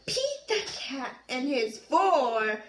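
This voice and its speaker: A woman reads a picture book to her little one at home, with a very high voice that swoops up and down and an unhurried pace. She coaxes and quizzes the child in a sing-song way. The room is roomy and noisy. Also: lively intonation. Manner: sing-song, coaxing, asks the child questions. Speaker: parent reading to her young child. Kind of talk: animated picture-book read-aloud.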